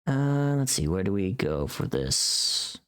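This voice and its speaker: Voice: deep voice, big pitch swings, slow pace, a bit bright, clear, resonant tone. A livestream host chatting casually while working, informal and sweary, often thinking aloud.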